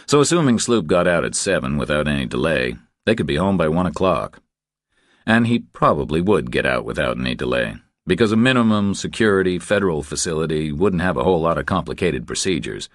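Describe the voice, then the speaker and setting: A man reading scripted thriller fiction as an audiobook narrator, in a measured, deliberate storytelling manner. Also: extremely low pitch, big pitch swings, no room sound, clean studio sound.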